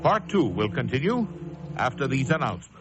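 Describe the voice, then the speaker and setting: A man's voice: mid-range voice, big pitch swings, brisk, loud music behind the voice. A man doing formal continuity announcing for a radio drama. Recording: muffled vintage radio broadcast.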